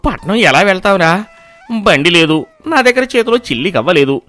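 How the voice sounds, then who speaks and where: A man's voice: high-pitched, very animated, fairly quick, fairly bright tone, no echo, faint music behind. A man voicing a stingy, scheming old grandfather in a moral story, bossy and dismissive as he haggles. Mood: evasive. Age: older. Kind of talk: animated moral-story voice acting.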